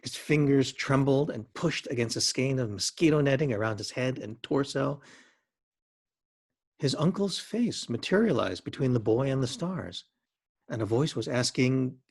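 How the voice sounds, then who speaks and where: Male narrator for literary fiction, mid-pitched and slightly rough-edged, expressive, lyrical phrasing. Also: normal speed.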